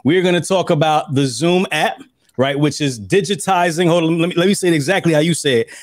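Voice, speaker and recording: A man's voice: fairly high pitch, brisk, dry recording, clean studio sound. A man in his thirties hosting an interview over a video call, upbeat and prone to repeating himself. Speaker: podcast host. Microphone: webcam on a video call.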